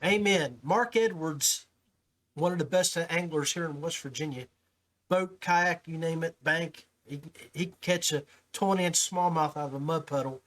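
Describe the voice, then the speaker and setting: A man with an American accent hosts a casual podcast. His voice sits a little high for a man. He speaks in a folksy, informal way, like someone chatting with buddies. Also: normal speed, fairly bright tone, low-quality recording. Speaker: podcast host. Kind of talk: casual podcast talk and interview.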